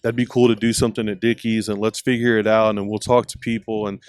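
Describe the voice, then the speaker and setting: A man's voice: fairly low pitch, little pitch movement, fast, darkish tone, clear, resonant tone, no room sound. A man hosting a podcast, chatting in a friendly, storytelling way at an outdoor skate park, wearing a headset. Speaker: podcast host. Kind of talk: casual chat. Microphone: mic with headset monitoring.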